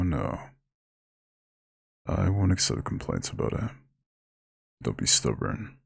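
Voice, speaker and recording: A man voicing an ancient eldritch god in an ASMR roleplay, proud and lordly yet tender, with a very deep, husky, gravelly growl. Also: dry recording, clean studio sound, heavily acted. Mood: firm, caring. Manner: lordly, formal, slow comforting address. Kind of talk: scripted ASMR roleplay monologue.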